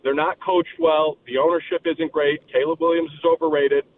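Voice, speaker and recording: A man's voice: a little high, little pitch movement, normal speed. A man calling in to a sports radio show, a brash fan who talks trash. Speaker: caller. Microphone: phone. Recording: tinny phone line with faint noise.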